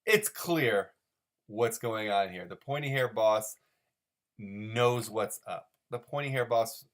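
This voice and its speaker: A man's voice: fairly low pitch, slow pace. A man hosting a solo commentary show, talking off the cuff in a mock-serious, overanalytical way and repeating phrases for emphasis. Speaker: host of a comic-strip commentary show. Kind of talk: rambling, unscripted solo commentary.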